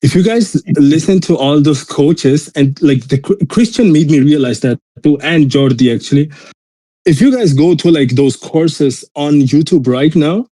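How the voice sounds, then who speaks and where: A man from Austria with a mixed European accent and German as his first language speaks in a slightly raised, mellow register. He rambles emphatically through casual motivational talk, dropping bro slang as he goes. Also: a little high, a little slow, rough recording. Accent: mixed European accent in English.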